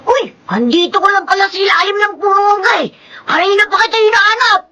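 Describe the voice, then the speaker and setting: Eager young Filipino boy, radio drama playmate: piping, sharp-edged, excitable, scheming. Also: very high voice, a little fast, dull audio, faint music behind. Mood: eager coaxing. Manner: excitable, chummy.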